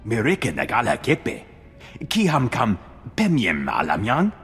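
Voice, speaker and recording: A man's voice: mid-range voice, wildly swooping pitch, a little slow, fairly bright tone, husky, soft background music, full character voice. An actor voicing a male alien engineer in a video game, chattering in an invented alien language. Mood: animated.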